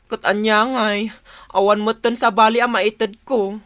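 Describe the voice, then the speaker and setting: A woman's voice: mid-range voice, fairly even intonation, a little slow, a bit bright, close to an everyday voice. A woman playing an exasperated younger sibling who keeps house in a rural family, in a radio drama with an Ilocano accent.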